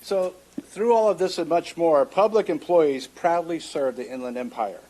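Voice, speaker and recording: A man's voice: fairly high pitch, normal speed, mellow, poor audio. A man reading a prepared statement for his union during public comment at a city council meeting.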